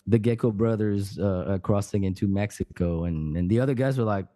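A man from France with a French accent, a guest on a podcast, telling a story in a lively way with many restarts. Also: fairly low pitch, brisk. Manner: animated storyteller, hums riffs, many restarts. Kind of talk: casual podcast chat.

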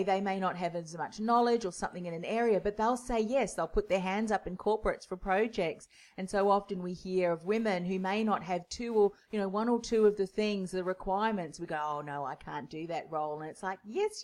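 A woman's voice: medium pitch, darkish tone. A woman hosting a faith podcast, affirming her guest and speaking in long, flowing, encouraging thoughts. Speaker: podcast host. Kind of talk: interview conversation.

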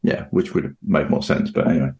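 A man with a very low-pitched voice discusses football as a podcast analyst. His talk is informal and conversational, and the recording has a clearly roomy echo around him. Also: fairly even intonation, fast, a little mellow. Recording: roomy, echoing sound.

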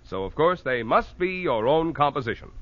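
A man's voice: fairly high pitch, big pitch swings, moderate pace. A male announcer doing 1940s radio sponsor announcements in a polished, emphatic style. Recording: dull old 1940s radio recording.

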